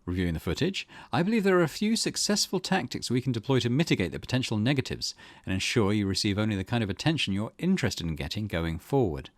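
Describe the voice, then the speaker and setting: A man reading the part of a formal, businesslike woman publicist in a visual novel, with measured corporate phrasing. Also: lowish voice, big pitch swings, no room sound, studio quality. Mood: polished, businesslike.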